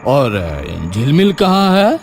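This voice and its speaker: A man playing a bossy, mocking gang leader in an animated horror story. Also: medium pitch, takes it slowly, gravelly, a little hiss or hum, exaggerated voice. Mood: scornful.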